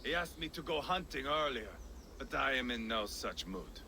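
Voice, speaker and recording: A man acting a gruff, sardonic, grumbling Viking in a video game, with a gravelly edge. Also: medium pitch, normal speed, noisy background, lightly acted. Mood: sullen, sardonic. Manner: sardonic, complaining, animated.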